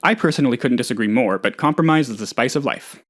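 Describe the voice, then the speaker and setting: A man's voice: medium pitch, fast talker, close to an everyday voice. A man playing an eccentric, eager, scatterbrained office worker in a comedic video game, rambling earnestly about absurd things. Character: eccentric, eager, scatterbrained male office colleague, cheerfully odd.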